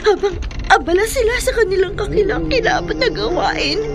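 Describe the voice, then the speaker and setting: A woman's voice: very high-pitched, animated, fairly quick, music in the background. An actress playing a frightened young woman fleeing a cult, speaking in a tearful, frantic way, in a horror radio drama. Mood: horrified.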